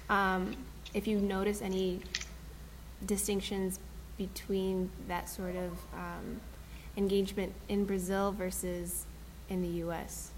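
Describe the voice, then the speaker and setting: A woman co-hosting a podcast, asking a loose, halting question in casual conversation. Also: medium pitch, background noise. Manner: loose, halting, frequent backchannel. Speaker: podcast co-host.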